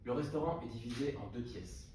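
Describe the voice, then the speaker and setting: A man's voice: mid-range voice, animated. Man reading aloud in an echoey room. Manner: unhurried reading with frequent hesitations and restarts. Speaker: reader at a book prize reading. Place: salon of a literary hotel. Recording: echoey room, distant mic, background noise.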